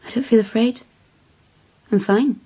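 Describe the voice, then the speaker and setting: An actress playing an uncanny, guileless feral girl in a radio drama, breathy, with simple, halting speech. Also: fairly high pitch, warm tone. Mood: calm, halting.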